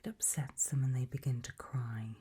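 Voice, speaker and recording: A British woman whispering an ASMR roleplay in a breathy voice, putting slow, deliberate questions with long pauses. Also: extremely low pitch, fairly even intonation, warm tone.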